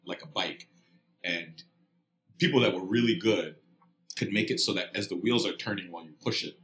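A man's voice: low-pitched, moderate pace, a bit bright, reverberant. A middle-aged man from Kenya, a podcast guest telling a casual rambling story with frequent laughs. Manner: rambling, laughs often between phrases.

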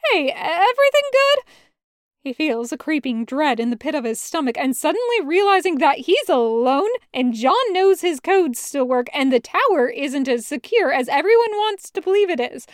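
High-voiced woman reading a story. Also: animated, moderate pace, hardly any pauses, no echo, studio quality. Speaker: podfic reader narrating fan fiction. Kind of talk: expressive scripted narration.

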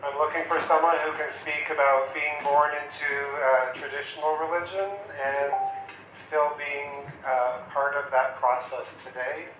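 A man's voice: fairly high pitch, fairly even intonation, a little slow, fairly bright tone, roomy sound, distant mic, slight background noise, rough recording. A man teaching a class on counseling, talking casually and acting out short example questions. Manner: conversational, acts out client questions. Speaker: instructor. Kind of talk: classroom lecture.

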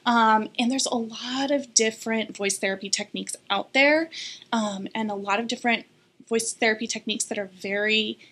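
A woman speech-language pathologist explaining voice and hormones in an interview, steady and informative with frequent ums. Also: fairly high pitch, moderate pace. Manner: clear, informative, many ums.

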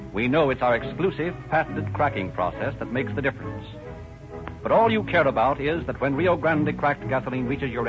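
A man reading a scripted 1930s radio sponsor pitch as a polished, persuasive announcer. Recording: dull old radio recording with music. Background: music.

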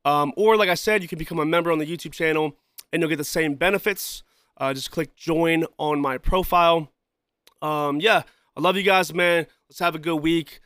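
Male solo podcast host, slightly high voice, loose rambling delivery, keeps coughing and clearing his throat, dry close mic. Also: moderate pace, fairly bright tone, dry recording. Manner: loose, rambling, repeats himself, frequent "you know" and "man". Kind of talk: casual solo podcast talk.

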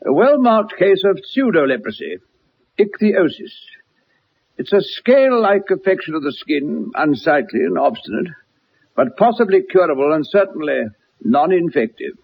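An actor playing a distinguished, measured medical specialist in a radio drama, formal and certain, on an old radio recording. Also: male voice, high-pitched, expressive, gravelly, husky, lo-fi sound, full character voice. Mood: grave, reassuring.

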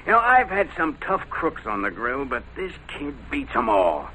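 A tough, tired American cop talks with lively, emphatic swings of pitch. He sounds exasperated, in old radio drama style. The vintage recording has faint noise. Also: moderate pace, rough recording. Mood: frustrated. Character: weary, exasperated, tough male police lieutenant.

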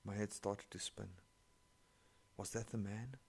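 A man's voice: lowish voice, steady tone, unhurried, vocal fry, slightly rough. A man, not an English speaker, reading online stories aloud and breaking in with sarcastic, profane commentary. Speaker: story reader.

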